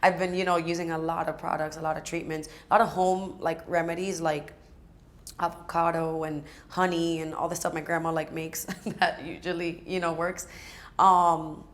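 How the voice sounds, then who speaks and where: A raspy-voiced woman in her twenties, chatting casually as a guest and filling her talk with "like". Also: deep voice, flat delivery, moderate pace. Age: 20s. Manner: chatty, many fillers like "like". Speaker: guest, aspiring singer and rapper. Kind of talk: casual sit-down chat.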